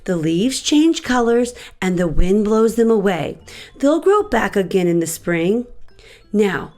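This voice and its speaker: A sensible storybook bird, voiced by a woman, patient but weary. The delivery drops lower and stays level, reassuring in a matter-of-fact way. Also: sing-song, unhurried, a little mellow, faint music behind.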